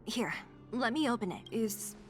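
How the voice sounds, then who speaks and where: Young video game heroine, cautious, thoughtful, slow delivery, music underneath. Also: female voice, fairly high pitch, animated. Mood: curious, hesitant.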